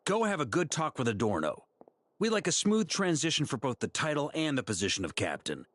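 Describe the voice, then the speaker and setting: A man playing an exasperated but good-natured veteran knight commander in a game, authoritative and paternal. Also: fairly high pitch. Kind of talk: game voice acting.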